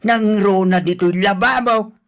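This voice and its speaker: An actor playing a grumpy, nagging husband in an Ilocano radio drama, with an Ilocano accent and a complaining, exclamatory way of talking. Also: male voice, high voice, a little slow, fairly bright tone. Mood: irritated.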